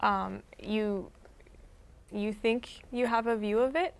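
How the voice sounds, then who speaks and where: Young woman nurse, bit of vocal fry, thoughtful and friendly, some ums. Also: medium pitch, slow pace. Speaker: guest, emergency room nurse. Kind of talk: faith interview answers.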